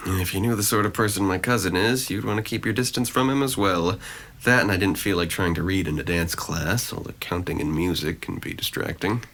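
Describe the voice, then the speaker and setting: A man's voice: deep voice, a little fast, a bit bright. A man acting a guarded, gloomy young man in an audio drama, with a dry, sarcastic, wordy delivery. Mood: sardonic. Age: young adult.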